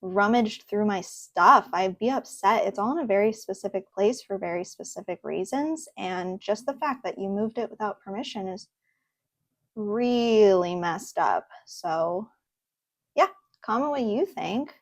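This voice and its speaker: A young woman hosting a casual reaction video from her desk at home, chatty and earnest, pausing often with ums. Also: medium pitch, expressive, dry recording, studio quality. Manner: chatty and earnest, with fillers and pauses. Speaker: host. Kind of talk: casual reaction video, reading posts aloud.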